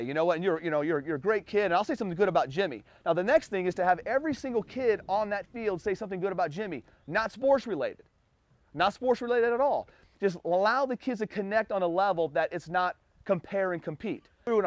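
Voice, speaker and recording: Fast-talking, high-voiced American man. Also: dry recording. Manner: informal, earnest, colloquial. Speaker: youth baseball coach and clinic speaker. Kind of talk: motivational coaching talk to a group. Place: outdoors on a baseball field. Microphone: lapel mic.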